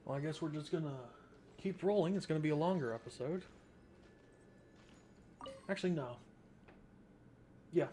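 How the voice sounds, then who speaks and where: A man's voice: fairly high pitch, normal speed, pauses often, mellow, slight background noise. Casual man commentating on a game. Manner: informal, thinking aloud. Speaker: gaming video host. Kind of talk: casual let's play commentary.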